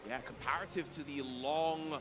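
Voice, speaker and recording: A man's voice: fairly high pitch, steady tone, normal speed. A man giving analytical live commentary on a fighting game tournament as a co-commentator. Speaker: esports co-commentator. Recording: music behind the voice.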